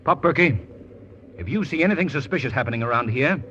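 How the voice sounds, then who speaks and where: An actor playing an urbane, earnest male gentleman detective, in 1940s radio style. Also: a little high, expressive, fast talker. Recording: dull, hissy old radio recording.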